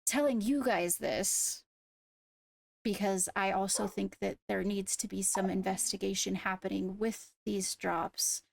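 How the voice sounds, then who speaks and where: Woman playing a creaky-voiced, deliberate investigator. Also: medium pitch, steady tone, no echo. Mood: serious, reasoning. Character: measured, diligent female fantasy court investigator. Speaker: roleplay player acting as an investigator. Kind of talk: improvised in-character roleplay dialogue.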